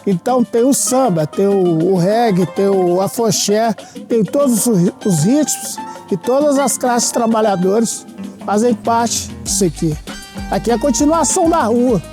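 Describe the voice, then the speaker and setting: Higher-voiced Brazilian woman over music. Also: expressive, measured pace. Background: string music.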